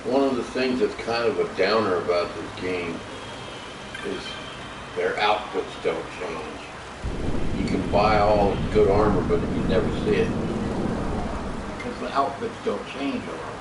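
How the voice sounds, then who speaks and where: A man's voice: low-pitched, a little slow, long pauses. A man casually commentating a game he is playing, muttering wryly to himself as he streams. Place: room at home while live-streaming a console game. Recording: echoey room, distant mic, noisy background.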